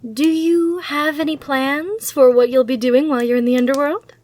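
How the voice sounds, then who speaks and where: A woman's voice: high voice, fairly even intonation, normal speed, darkish tone, close to an everyday voice. A woman playing a gracious, eager demon hotel receptionist in an ASMR roleplay, with polite, reassuring customer-service patter. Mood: cheerful, helpful.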